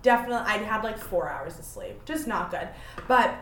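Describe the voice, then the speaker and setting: A woman's voice: mid-range voice, expressive, a little fast, fairly bright tone, reverberant, a little hiss or hum. A woman, hosting her own podcast and telling rambling, self-deprecating stories in casual chat. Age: 20s. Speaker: podcast host.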